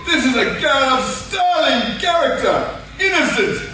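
A man's voice: very high-pitched, sing-song, a little slow, heavily acted. A man playing a gallant, indignant protector in comic radio theatre, speaking in florid, melodramatic mock-heroic fashion. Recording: distant, very echoey, noisy stage recording.

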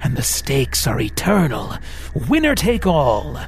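A man voicing a smooth, sarcastic male devil who teases and threatens by turns in an audiobook. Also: mid-range voice, huge pitch swings, unhurried, slight background noise. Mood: mocking. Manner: teasing, sarcastic, theatrical. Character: sly mocking male devil, smooth, menacing.

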